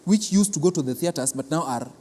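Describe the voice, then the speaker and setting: A man with a Kenyan accent explaining a programme in an interview as an official, deliberate and repeating words for emphasis. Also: fairly high pitch, lively intonation, dark tone. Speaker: cultural centre official being interviewed. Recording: reverberant hall.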